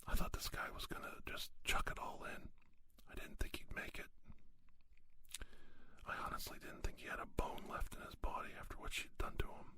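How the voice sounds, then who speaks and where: A man whispering an ASMR monologue, giving folksy, plain-spoken advice and anecdotes with unhurried, emphatic pauses. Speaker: ASMR podcast host.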